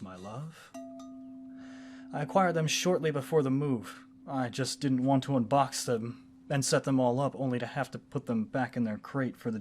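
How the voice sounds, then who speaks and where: A man acts out a scholarly wizard boyfriend from a fantasy audio roleplay. He has a mid-pitched voice and talks in gentle, courtly phrases. His delivery is intimate and affectionate, as if to a beloved companion. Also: slight background noise, natural voice.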